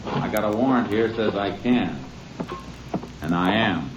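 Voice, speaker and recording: An actor playing a calm, shrewd Texas Ranger in a 1950s radio western, talking in a steady, measured way. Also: male voice, lowish voice, lightly acted. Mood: stern. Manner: steady, measured, quietly firm. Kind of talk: 1950s radio drama acting. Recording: dull vintage radio recording with hiss.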